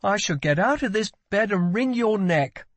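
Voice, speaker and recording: Man voicing a petulant young prince, high-pitched. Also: very animated, normal speed, no room sound. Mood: indignant. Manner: scornful, dramatic, demanding. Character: petulant wounded young prince, playful yet guilt-ridden.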